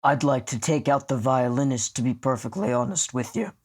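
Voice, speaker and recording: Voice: medium pitch, lively intonation, a little fast. A player in a tabletop roleplaying podcast, talking casually and informally with some swearing. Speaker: player in an actual-play podcast.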